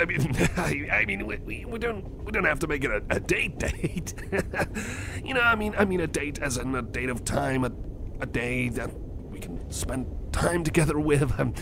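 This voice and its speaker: A man playing a shy, kind cyborg with a crush in an ASMR roleplay, stammering and repeating himself as he talks to the listener. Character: bashful, kind male cyborg friend nervously confessing a crush. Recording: clear background vehicle rumble noise. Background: train ride ambience.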